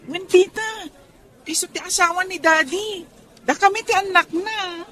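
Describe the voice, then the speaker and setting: A woman's voice: extremely high pitch, a little slow, sharp tone, heavily acted. A woman with a Filipino accent voicing an innocent, inquisitive little girl in a radio drama. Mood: innocent, chatty.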